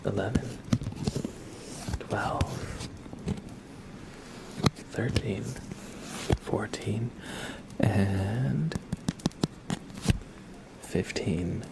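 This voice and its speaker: A soft-spoken man whispering gently and politely in a casual ASMR livestream, chatting with viewers and reading cards. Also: lowish voice, very slow, vocal fry, long pauses, heavy background noise.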